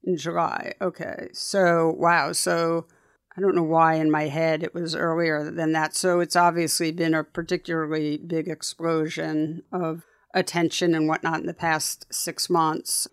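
A man's voice: a little high, level tone, a little slow, a bit bright, clean tone, no echo, clean studio sound. A man hosting a podcast interview, talking in a chatty, casual way with frequent restarts. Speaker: podcast host.

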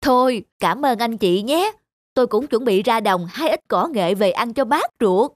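A woman's voice: fairly high pitch, lively intonation, normal speed, no echo. A woman voicing a scheming, hungry fox in a cartoon fairy tale, crafty and coaxing in manner. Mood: falsely friendly. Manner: coaxing, crafty, feigned nonchalance. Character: sly, scheming hungry fox posing as friendly. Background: music.

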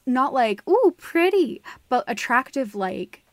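A woman's voice: high-pitched, sing-song, slow, no room sound. A woman co-hosting a podcast, talking casually and emphatically in a gushing, sweary discussion. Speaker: podcast co-host. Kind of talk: animated casual discussion and critique.

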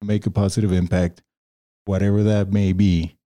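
Venezuelan dude on a podcast, smooth resonant voice, rambles with tons of fillers. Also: male voice, deep voice, little pitch movement, normal speed, dark tone. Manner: rambling, many fillers and restarts, laughs often. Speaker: podcast guest, freelance video producer. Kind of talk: casual interview chat.

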